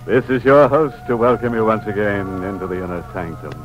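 A man's voice: lowish voice, steady tone, lightly acted. An actor playing a gleefully morbid horror host on a 1940s radio show, theatrical and tongue-in-cheek, stretching out his lines. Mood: macabre glee. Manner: theatrical, drawn-out punch lines. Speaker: host of a mystery anthology. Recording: muffled old radio broadcast with music.

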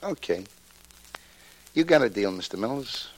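A man's voice: medium pitch, very animated, a little slow. A man playing a tough, self-assured hired gun, terse and blunt, in 1940s radio drama style. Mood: wary, businesslike. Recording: noisy old 1940s radio recording.

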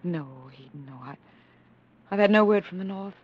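A woman's voice: medium pitch, normal speed, close to an everyday voice. An actress playing an anxious, tender woman in love, in earnest, theatrical mid-century radio drama style. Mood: gentle, concerned. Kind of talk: 1940s-50s radio drama acting. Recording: muffled, noisy old radio recording.

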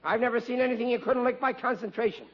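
An actor playing a blustering, stubborn, well-meaning but dim family father in an old-time radio sitcom, with a husky voice and colloquial delivery. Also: very high voice, quick pace, a little hiss or hum. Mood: exasperated. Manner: exasperated, stammering, colloquial working-class bluster. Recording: dull, muffled vintage radio recording.

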